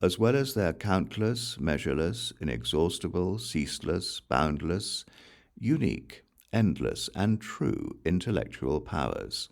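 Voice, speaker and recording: A man reading scripture as an audiobook in a measured, solemn way, phrase by deliberate phrase. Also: low-pitched, sing-song, gravelly. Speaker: audiobook reader.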